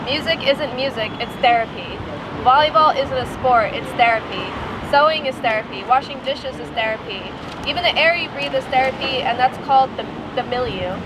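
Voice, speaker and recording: A woman's voice: high voice, a little fast. A woman reciting lines of a poem in public at a protest. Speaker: protest participant reading a poem. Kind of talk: public poetry reading at a protest. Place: outdoors at a street protest. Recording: very loud outdoor traffic noise.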